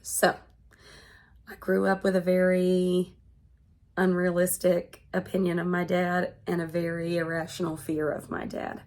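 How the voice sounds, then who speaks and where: A woman in her thirties, congested and hoarse from being sick, talking earnestly to her vlog audience. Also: on the low side, monotone, darkish tone. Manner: slow, earnest and measured. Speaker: vlogger. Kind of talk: personal vlog monologue.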